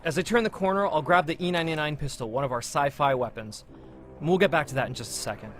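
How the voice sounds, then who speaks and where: A man's voice: fast talker, music in the background. A man presenting a game walkthrough as its producer, in scripted demo narration. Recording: noisy low-quality promotional video audio.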